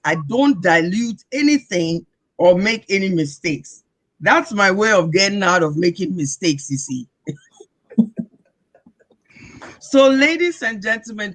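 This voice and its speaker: Slow, measured female talk show host. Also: fairly low pitch, a bit bright. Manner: slow, measured recitation with emphatic pauses. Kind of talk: interview with a recited poem opening.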